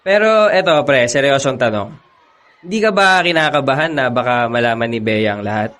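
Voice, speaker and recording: A man's voice: mid-range voice, expressive, moderate pace, a bit bright, lightly acted. An actor playing a young male college friend who teases and laughs along with his buddy. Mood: teasing. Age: young adult. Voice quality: teasing, laughing often. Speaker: actor in a radio drama. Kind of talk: radio drama acting.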